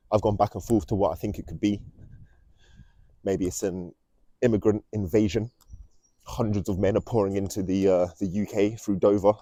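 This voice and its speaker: Young man, low mellow voice. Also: dry recording. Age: young adult. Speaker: vlog host. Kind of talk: casual rambling monologue to camera. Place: outdoors, walking.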